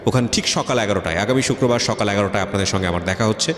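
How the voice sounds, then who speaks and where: Radio host: man, Bangladeshi accent, lowish pitch, fast conversational delivery, heavy echo. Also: fairly bright tone. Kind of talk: conversational radio interviewing and addressing listeners. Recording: echoey hall-like reverb, faint music.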